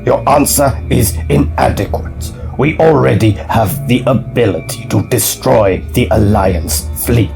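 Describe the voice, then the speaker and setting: A man's voice: medium pitch, measured pace, creaky, few pauses, music in the background. A man playing a cold, menacing alien warlord in an audio drama, speaking in terse, threatening commands. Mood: cold menace.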